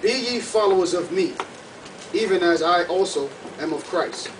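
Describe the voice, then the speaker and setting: Guy reading bible verses out loud phrase by phrase, high voice, far off and noisy. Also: expressive, normal speed. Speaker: scripture reader assisting the preacher. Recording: distant mic, clear background noise.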